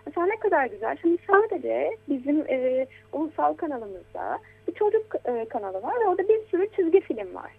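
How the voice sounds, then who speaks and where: An adult woman talking conversationally as a programme guest, encouraging and gently explaining things as if to a child. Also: high-pitched, very animated, brisk, creaky, hardly any pauses. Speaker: guest commentator on children's media. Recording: tinny, phone-like narrow band.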